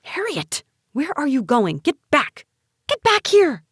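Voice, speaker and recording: A woman's voice: high voice, huge pitch swings, fairly quick, darkish tone, no echo, clean studio sound, pitched above the performer's own voice. A woman voicing an anxious aunt, urgent and pleading, in a dramatic story reading. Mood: panicked hissing. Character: anxious, flustered aunt minding a wayward niece.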